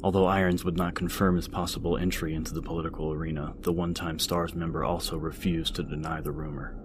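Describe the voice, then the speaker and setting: A man narrating an audio story, reading out newspaper reports in a measured, scripted news style. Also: deep voice, slight background noise. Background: music.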